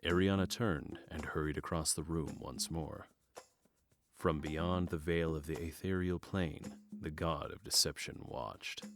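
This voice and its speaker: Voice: rumbling low, measured pace, fairly bright tone, heavily acted. A narrator reading scripted storytelling for a fantasy audio drama.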